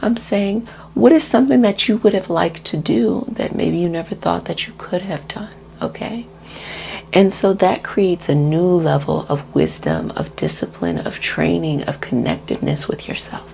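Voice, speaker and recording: A woman with a soft, breathy voice that often drops to a whisper gives a soothing, gently reassuring ASMR energy healing talk. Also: on the low side, sing-song, normal speed, a little hiss or hum. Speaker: energy healer and host of healing sessions.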